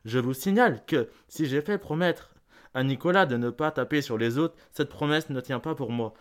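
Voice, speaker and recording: A man's voice: medium pitch, moderate pace, lightly acted. A young male amateur actor playing a combative, protective father in a theatrical letter reading. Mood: polite menace. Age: teenager or young adult student.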